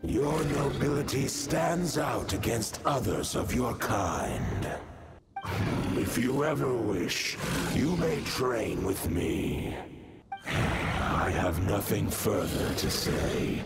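An actor voicing a stern, ancient wolf spirit in a video game, speaking in a solemn, formal, commanding way. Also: male voice, medium pitch, sing-song, takes it slowly. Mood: solemn, respectful. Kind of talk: scripted video game dialogue. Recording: loud game music underneath. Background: loud music.

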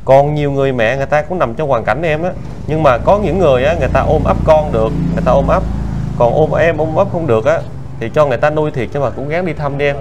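A man's voice: fairly high pitch, fast. A man with a Southern Vietnamese accent chatting casually as an interviewer, coaxing and familiar, repeating his questions. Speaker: interviewer, host of charity visit videos. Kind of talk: casual, probing street interview chat. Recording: noisy outdoor recording with traffic.

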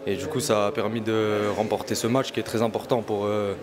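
A man's voice: on the low side, level tone, a little fast, a crowd in the background. A young man, a footballer, giving a modest post-match interview into a handheld mic at the stadium. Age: young adult. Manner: modest, hesitant. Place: stadium mixed zone.

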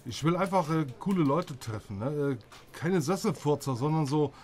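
A man acting a sporty, laid-back single bloke in an audio drama, speaking haltingly with casual fillers and tag questions. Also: on the high side, slight background noise, close to an everyday voice. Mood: eager, casual. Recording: lively crowd chatter behind. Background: crowd chatter.

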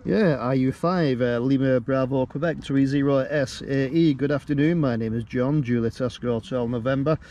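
A man's voice: mid-range voice, moderate pace, few pauses, warm tone, slight background noise. A man who works as an amateur radio operator, narrating and making contacts on air. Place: outdoors at a farm field station.

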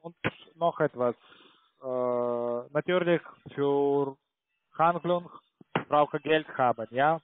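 A man with a foreign accent speaking non-native German as a call centre salesman, pitching haltingly and tagging sentences with "ja". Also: background noise. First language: not German. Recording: tinny narrow phone line.

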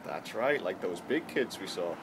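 A man's voice: deep voice, expressive, normal speed. A middle-aged man chatting casually in short, encouraging phrases to a small child, as his father. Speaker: father filming his young son. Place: outdoors on a patio. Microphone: camera held in hand. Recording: noisy handheld home video.

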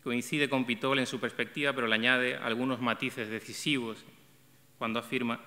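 Middle-aged man, prepared essay read aloud, bright forceful tone, table microphone, long hall reverb. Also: medium pitch, steady tone. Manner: measured, reflective reading with small restarts. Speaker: event coordinator presenting a talk on translation. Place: arts center stage, seated at a table.

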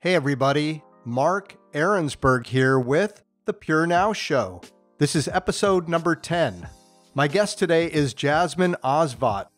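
An older man hosting a podcast, introducing his guest and asking questions in a measured way over a video call headset. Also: fairly high pitch, expressive, soft background music. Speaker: podcast host.

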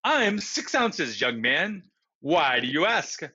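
A man's voice: a little high, big pitch swings, slow, pushed and bright, breathy edge, highs cut off, heavily acted. A man voicing a prim, fussy middle-aged alien woman in a storybook reading.

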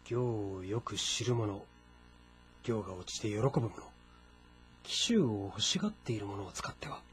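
An actor playing a calm, deferential adviser to a warlord in a historical game drama, speaking formally and deliberately. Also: male voice, lowish voice, slight background noise, natural voice. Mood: cautious, counselling. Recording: music behind the voice. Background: background music.

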